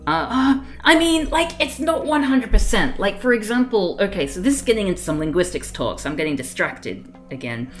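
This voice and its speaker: A woman's voice: mid-range voice, animated, fairly quick, few pauses, faint music behind. A woman streaming a game, chatting casually and rambling with frequent laughs; her first language was Fiji Hindi. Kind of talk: casual livestream chat while playing a game.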